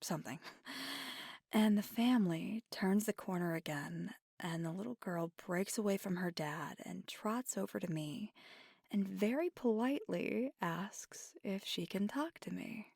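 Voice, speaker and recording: A woman plays a playful, affectionate witch girlfriend in an ASMR audio roleplay. Her voice is soft and breathy with a gravelly fry, often dropping toward a whisper. She takes her time as she confides in the listener, and the sound is dry and intimate. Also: medium pitch, unhurried, dry recording. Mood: soft, amused.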